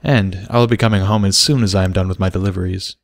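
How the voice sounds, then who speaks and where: A man's voice: low-pitched, steady tone, quick pace, gravelly, no room sound. A man voicing a sweet, motherly pegasus mare with an affectionate, playfully bossy manner for a storybook. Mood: teasing, affectionate.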